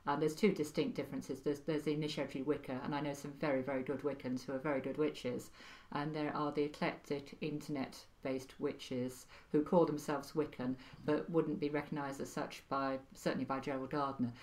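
Middle-aged woman from wales, really low voice, dry little asides, stops and starts as she thinks, podcast guest. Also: flat delivery, a little mellow. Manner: thoughtful, wry, with frequent pauses and fillers. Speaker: podcast guest, practising witch. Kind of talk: casual interview chat.

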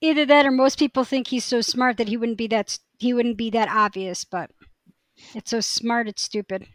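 A woman co-hosts a podcast with casual, opinionated banter. Her voice sits a little high. She sounds wry and informal, recorded close with no room sound. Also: fairly even intonation, moderate pace, dry recording. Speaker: podcast co-host.

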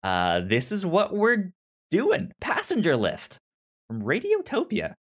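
A man's voice: high-pitched, wildly swooping pitch, slow, fairly bright tone. A man co-hosting a podcast in casual review chat, often stammering and repeating words and syllables. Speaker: podcast co-host.

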